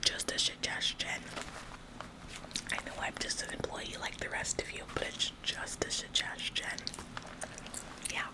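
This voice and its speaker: A woman's voice: a little slow, pauses often, lightly acted, rough recording. Lady acting out a bossy office coworker in asmr roleplay, all whispered, condescending and fake-polite nagging. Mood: officious, faux-humble. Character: officious, nitpicking female office employee acting like the boss.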